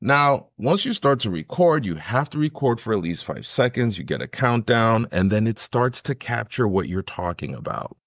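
A man in his forties hosting an enthusiastic, emphatic software tutorial. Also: lowish voice, very animated, moderate pace, hardly any pauses, no echo. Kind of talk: enthusiastic scripted-sounding screen tutorial.

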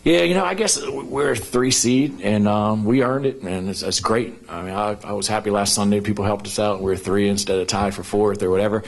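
A middle-aged man coaching basketball, speaking quickly in a fairly low voice at an arena press conference. He answers questions through a table microphone, running his thoughts together with a lot of "you know" and restarts. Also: vocal fry. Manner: rapid, with frequent "you know" fillers and restarts. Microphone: table microphone on a stand.

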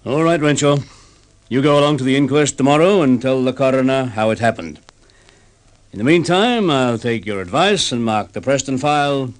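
An actor playing a brisk senior police officer questioning his sergeant in an old radio drama. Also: mid-range voice, lightly acted. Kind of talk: vintage radio drama acting.

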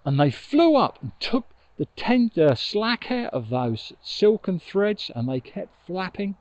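A middle-aged man telling a children's story expressively, with dramatic pauses, recorded outdoors on a lapel mic. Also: high voice, very animated, darkish tone, dry recording, muffled recording. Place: outdoors on an open riverbank. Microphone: lapel mic with furry windshield.

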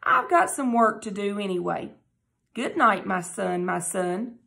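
A woman doing a warm teasing storybook dad. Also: medium pitch, sing-song, a little slow, gravelly, heavily acted. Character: warm playful father saying good night.

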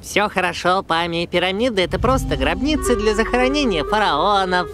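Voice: mid-range voice, animated, brisk, close to an everyday voice. An actor voicing an earnest, bookish young male monkey in a children's cartoon, explaining things a little uneasily. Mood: reassuring, explaining. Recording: echoey, loud music behind.

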